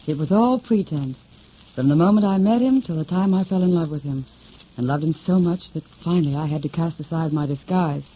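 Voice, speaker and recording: Actress as plucky young singer, muffled vintage radio. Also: female voice, low voice, a little fast, slight background noise, close to an everyday voice. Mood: regretful confession. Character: plucky, stubborn young woman singer seeking showbiz work. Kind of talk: 1940s radio drama acting and narration. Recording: muffled old radio broadcast, music behind.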